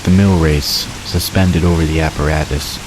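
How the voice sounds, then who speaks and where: A man's voice: rumbling low, fairly even intonation, moderate pace. A man reading scripted story narration for an audio drama, with watery ambience behind him. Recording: constant rain-like hiss behind voice.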